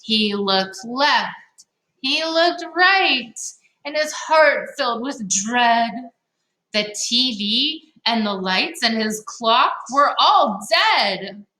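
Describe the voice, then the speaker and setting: Expressive woman reading a picture book aloud. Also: a little high, very slow. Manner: dramatic, playful, emphatic. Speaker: read-aloud storyteller for children. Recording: roomy, echoing sound.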